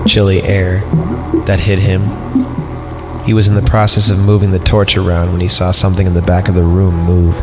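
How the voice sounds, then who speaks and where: A man's voice: sits low, creaky, poor audio. A man hosting a podcast, reading a horror story aloud. He reads the punctuation out, stumbles over lines and breaks off into joking asides. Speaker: podcast host.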